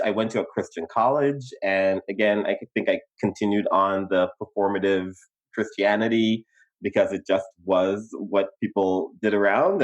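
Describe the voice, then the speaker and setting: A young adult man from Guyana with a Guyanese accent, telling his life story in casual podcast chat, animated and quick to laugh. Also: poor audio. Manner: animated, laughs easily, emphatic pitch jumps. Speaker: podcast co-host.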